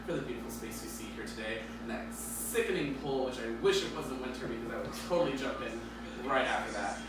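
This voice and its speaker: A man's voice: medium pitch, fairly quick. A man hosting a casual interview show, bubbly and giggly, tripping over names as he goes. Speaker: host. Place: large echoey space by a pool. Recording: echoey and distant with background noise.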